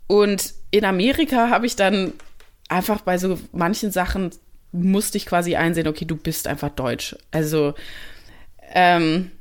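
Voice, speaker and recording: A young woman from Germany talks through her answers in an unhurried interview. Her voice sits a little low, and she pauses and restarts as she works out her thoughts. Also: fairly even intonation. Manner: thoughtful, with many fillers and restarts. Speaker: musician and interview guest. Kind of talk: casual interview conversation.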